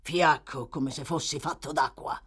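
A woman's voice: low voice, animated, moderate pace, breathy edge. Audiobook role: female reader as brutal male crook, irascible, growling, menacing, barking impatiently. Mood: weak, irritable. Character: gruff, irascible, brutal adult male thief. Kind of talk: audiobook character voice.

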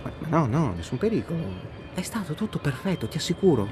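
Radio drama: elated, restless lover phoning from the road, highish swooping voice, halting repeats, music behind. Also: male voice. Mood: reassuring, earnest. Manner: halting, repeats words insistently, excitable. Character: elated, restless man phoning his beloved while driving. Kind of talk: naturalistic radio drama acting, one side of phone call.

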